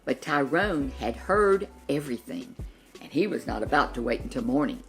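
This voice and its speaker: A woman storyteller giving an expressive reading of a children's story. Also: rumbling low, normal speed, soft background music.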